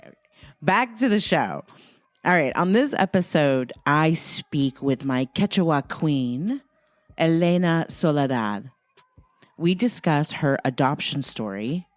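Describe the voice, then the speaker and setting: A woman hosting her own podcast, her voice on the low side. She talks in a playful, joking way, hyping up her guest and riffing on the conversation. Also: darkish tone. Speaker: podcast host. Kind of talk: casual podcast chat. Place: podcast studio, seated beside the guest.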